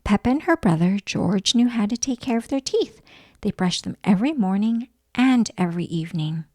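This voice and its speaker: A woman reading a children's story aloud as an expressive narrator. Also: mid-range voice, normal speed, no echo, clean studio sound.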